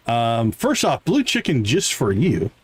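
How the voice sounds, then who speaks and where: A man's voice: mid-range voice, wildly swooping pitch, unhurried, slight background noise. A man giving playful, chatty live commentary as he plays a video game, talking to characters and viewers. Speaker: livestream host playing a farming video game. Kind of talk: casual live gaming commentary.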